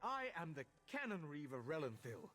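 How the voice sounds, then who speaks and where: An actor playing a proud, indignant elf magistrate and father in a video game, husky and pompous. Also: a little high, sing-song, normal speed, fairly bright tone, faint music behind. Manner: pompous, dramatic. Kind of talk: video game character dialogue. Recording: long hall reverb.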